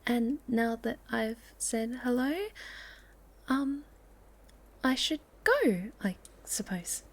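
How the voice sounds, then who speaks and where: A woman voicing a shy, sweet, curious young moth girl in an ASMR roleplay, speaking softly and politely with a hesitant stammer. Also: fairly high pitch, takes it slowly. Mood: shy, nervous.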